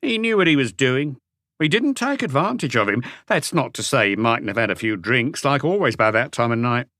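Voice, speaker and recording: A man's voice: mid-range voice, animated, moderate pace, fairly bright tone, no echo, studio quality, pitched above the performer's own voice. A man voicing a self-satisfied, disdainful male suspect in his late twenties for an audiobook. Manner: smug, insistent.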